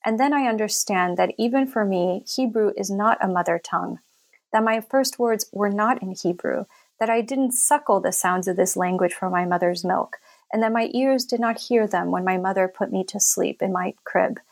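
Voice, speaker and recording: A woman speaks in a thoughtful, searching way, often pausing on fillers and restarting her sentences. Her voice has a gravelly, creaky edge. She sounds like an author explaining her own reading of a text. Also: medium pitch. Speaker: author and scholar. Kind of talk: interview conversation, reading a passage aloud.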